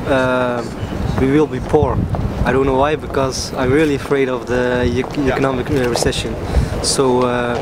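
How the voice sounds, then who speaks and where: A man, a law student answering in a halting way with many stumbles. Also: mid-range voice, little pitch movement. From Tilburg, Netherlands. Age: 20s. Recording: loud wind and water noise outdoors.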